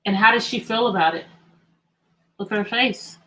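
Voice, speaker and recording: A woman's voice: medium pitch, moderate pace, a bit bright, rough recording. A woman teacher hosting an interactive children's read-aloud, telling the story expressively and putting repeated questions to her listeners.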